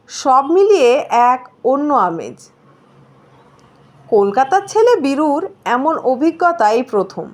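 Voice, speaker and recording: High-pitched, expressive woman storyteller. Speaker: story reader. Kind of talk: scripted story narration.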